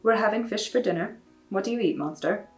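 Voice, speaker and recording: A woman voicing a stern but gentle mother who speaks in a firm, measured way. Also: medium pitch, creaky, soft background music, voice pushed up, lightly acted.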